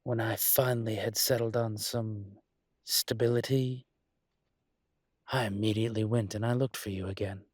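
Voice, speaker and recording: A man performs a male dragon shifter who rules as a mafia boss. His voice is low and husky, and he takes his time, leaving deliberate pauses. He sounds smug and teasing yet protective, recorded close and dry like an intimate audio drama. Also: fairly even intonation, no echo, lightly acted. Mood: reflective, calm. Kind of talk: slow intimate roleplay audio acting.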